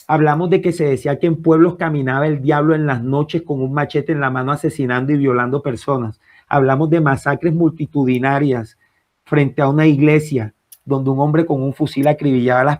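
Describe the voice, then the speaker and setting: A man's voice: on the high side, a little mellow. A man from Colombia with a Caribbean accent, answering in an interview as a writer, emphatic and repeating phrases for effect.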